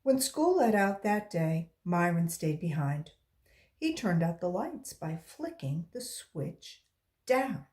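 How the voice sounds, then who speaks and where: Animated woman reading a children's story. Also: fairly low pitch, slow pace. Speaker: volunteer storybook reader. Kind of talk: expressive read-aloud of a children's story.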